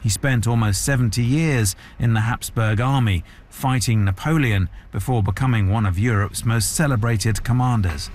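A man's voice: medium pitch, moderate pace, few pauses, darkish tone. A man working as a radio correspondent, narrating a scripted news report.

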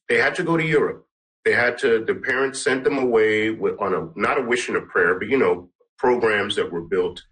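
A man's voice: on the low side, a bit bright, rough recording. A middle-aged man, a musician answering interview questions conversationally, feeling for his words and repeating phrases for emphasis. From Canada, Guyana-born. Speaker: musician and song producer, interview guest.

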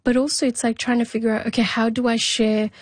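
A woman's voice: on the high side, flat delivery, fast, no echo. A woman who migrated to Australia, speaking conversationally as an interview guest. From migrated to Australia from abroad.

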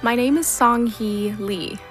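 Woman singer, english, excited and fond, soft music under her. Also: on the high side, takes it slowly. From South Korea. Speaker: opera competition finalist. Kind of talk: recorded radio interview clip.

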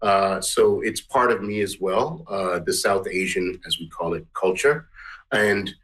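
A middle-aged musician from Guyana answering interview questions in an earnest, rambling way. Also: male voice, low-pitched, fairly even intonation, a little slow, fairly bright tone. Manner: earnest, rambling, many restarts. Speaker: musician and composer.

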